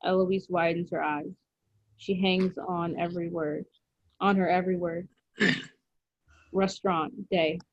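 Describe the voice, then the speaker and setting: A woman narrates a screenplay's action lines in a fairly low voice. The recording is dry and somewhat dull, with little room sound. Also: little pitch movement, slow pace, long pauses, dry recording. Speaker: stage directions reader. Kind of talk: scripted narration, screenplay read aloud.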